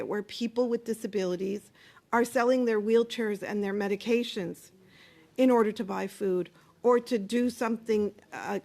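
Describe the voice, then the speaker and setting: A woman's voice: medium pitch, darkish tone. Woman witness at a hearing, earnest and impassioned, mid-range voice, speaking on a desk mic. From Canada. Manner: earnest, impassioned, with some hesitations. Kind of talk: formal testimony. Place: committee hearing room.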